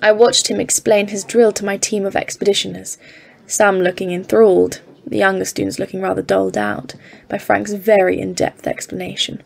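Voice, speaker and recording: An earnest expedition scientist, played by a woman, speaks with a creaky edge. Her delivery is measured and literary, like a formal account read out in an audio drama. Her pitch sits in the middle for a woman. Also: flat delivery, a little mellow. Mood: grave, reflective. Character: Evelyn, earnest woman geologist leading polar expedition. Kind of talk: audio drama narration and dialogue.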